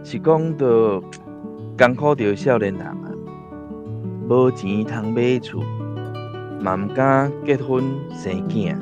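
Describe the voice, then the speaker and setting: A man's voice: mid-range voice, slow pace, long pauses, dull audio, over music, lightly acted. An actor with a Taiwanese accent playing a talkative, opinionated male villager in a radio drama. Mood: chatty. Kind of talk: conversational radio drama acting.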